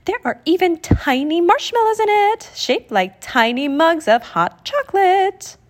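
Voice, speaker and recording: A woman's voice: very high-pitched, very animated, unhurried, pitched above the performer's own voice, exaggerated voice. A woman voicing a cheerful, bubbly young female genie in a storybook, gushing in a sing-song way.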